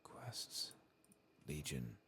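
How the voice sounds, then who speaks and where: A man doing soft-spoken, whispery ASMR game commentary, murmuring unhurriedly to himself as he plays. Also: very deep voice, very animated, extremely slow. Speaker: streamer playing a fantasy role-playing game. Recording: faint background noise.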